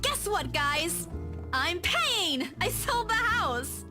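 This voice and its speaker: An actress playing a cheerful, excitable young woman in a visual novel game. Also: very high-pitched, drawn-out words, music in the background, exaggerated voice. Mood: excited, proud. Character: cheerful, excitable young woman, playful real estate agent.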